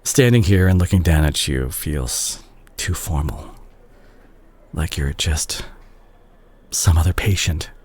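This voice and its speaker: A man playing a remorseful, guilt-ridden doctor ex-boyfriend in an intimate romantic audio drama, speaking haltingly and tenderly with a husky voice. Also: extremely low pitch, darkish tone, slight background noise. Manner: halting, emotional, with sighs and repeated words. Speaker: audio roleplay performer.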